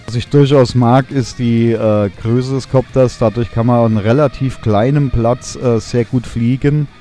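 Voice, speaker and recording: Young guy doing a drone review voice-over, warm mellow tone, lots of ums, faint guitar behind. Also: medium pitch, a little slow. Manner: relaxed, enthusiastic, many fillers.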